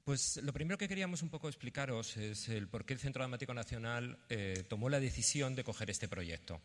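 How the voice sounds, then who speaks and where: A man from giving a halting conference presentation, partly reading it out. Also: medium pitch, lively intonation. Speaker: theatre representative presenting a project. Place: indoor conference venue. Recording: reverberant conference hall.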